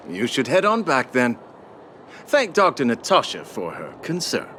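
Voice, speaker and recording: A man's voice: mid-range voice, wildly swooping pitch, unhurried, a bit bright, slight background noise. A man voicing a world-worn, cynical miner in a video game, blunt and guarded, with music underneath. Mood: dismissive.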